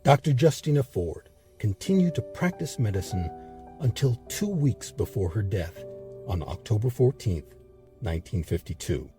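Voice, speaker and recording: A man narrating a scripted history documentary in a measured, expressive storytelling manner. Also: on the low side, faint music behind.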